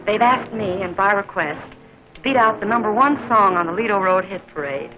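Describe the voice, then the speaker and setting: A woman's voice: mid-range voice, normal speed, over music. A woman radio host introducing a song in 1940s radio announcement style, with cheerful showbiz patter. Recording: dull old 1940s radio recording.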